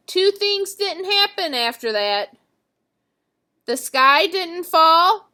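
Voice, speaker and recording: A woman reading a children's story aloud as an expressive storyteller. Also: high voice.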